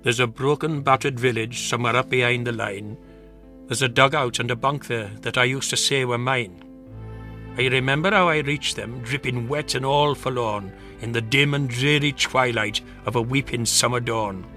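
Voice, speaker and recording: Man, mid-pitched, bright intense voice, solemn measured verse recitation, soft music underneath. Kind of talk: dramatic poetry recitation.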